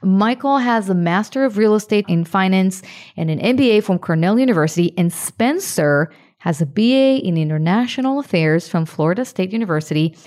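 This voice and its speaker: A woman's voice: mid-range voice, a little mellow. A woman hosting a podcast, introducing guests and asking questions in a friendly, upbeat way. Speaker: podcast host.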